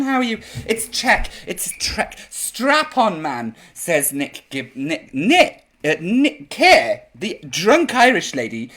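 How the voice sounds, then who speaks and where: A man hosting a live chat show in a camp drag persona, sounding a bit croaky. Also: very high voice, a bit bright. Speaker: drag queen host. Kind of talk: live-streamed chat show, reading viewer comments.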